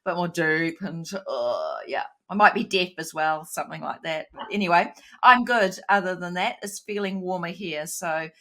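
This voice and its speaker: A woman's voice: on the low side, normal speed, few pauses. A woman with a New Zealand accent, a little nasal from hay fever, co-hosting a casual chat and talking animatedly over a remote call. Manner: animated, frequent restarts, acts out quoted voices. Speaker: podcast co-host.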